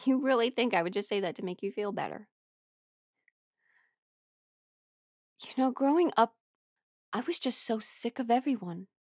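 A woman's voice: a little high, animated, a little fast, a little mellow, no room sound, studio quality. A woman acting in an audio roleplay as a defensive, emotional musician rejecting her bandmate's love. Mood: bitter, weary. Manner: emotional, exasperated, swearing. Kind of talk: audio roleplay drama monologue.

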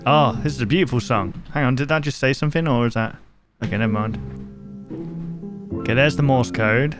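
Chatty excitable male reaction host. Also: medium pitch, fairly quick, over music. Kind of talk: reaction commentary.

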